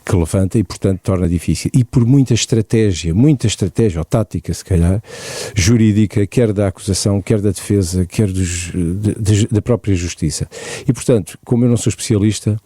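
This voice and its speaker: A man giving measured, reflective commentary on a radio show. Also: lowish voice, dark tone, dry recording.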